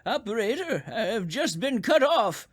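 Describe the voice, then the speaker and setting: A man's voice: extremely high pitch, big pitch swings, moderate pace, slightly rough, full character voice. A man playing a crotchety, nervous old man in a radio drama, stammering and agitated as he talks. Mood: horrified, urgent. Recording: eerie music behind the voice.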